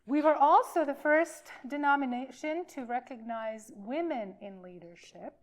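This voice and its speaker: A woman minister giving an informal sermon for all ages, speaking in a halting, emphatic way with deliberate pauses. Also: sits high.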